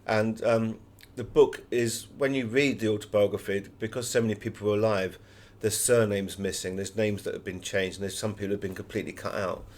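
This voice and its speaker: Low-voiced man telling anecdotes. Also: fairly even intonation, brisk, few pauses. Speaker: author being interviewed. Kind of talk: conversational interview answers, anecdotal.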